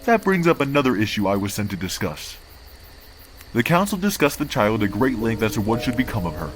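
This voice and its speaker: A man's voice: on the low side, very animated, fairly quick, soft background music, poor audio. A man playing a cold, disdainful council envoy, speaking formally and condescendingly in a comic dub. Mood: haughty. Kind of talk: amateur comic dub acting.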